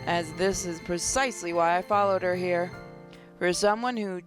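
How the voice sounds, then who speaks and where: A woman's voice: lowish voice, normal speed, faint music behind. Woman reading visual novel narration aloud. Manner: stumbles and rereads phrases. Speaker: gaming channel host.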